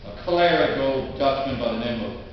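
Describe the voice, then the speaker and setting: A man storyteller reads a classic tale aloud in a dramatic, old-fashioned manner, with emphatic swells and pauses. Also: mid-range voice. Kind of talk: theatrical live literary reading. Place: inside a historic stone church. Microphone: distant microphone in the room. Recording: echoey church, distant mic, dull, faint noise.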